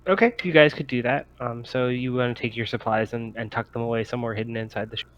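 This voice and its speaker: A man's voice: mid-range voice, steady tone, fast, dull audio. A man running a tabletop roleplaying game as game master, explaining and improvising details as he goes.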